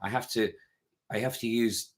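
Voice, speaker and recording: A man who directs a choir, chatting casually about singing, with a low voice and many restarts. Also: steady tone, normal speed. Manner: rambling, many restarts, sings short notes to demonstrate. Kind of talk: casual interview chat.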